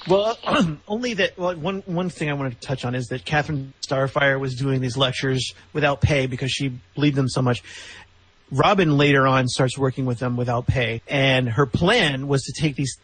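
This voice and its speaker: Husky, sardonic male podcast commentator. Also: mid-range voice, moderate pace, fairly bright tone, low-quality recording. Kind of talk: casual critical discussion.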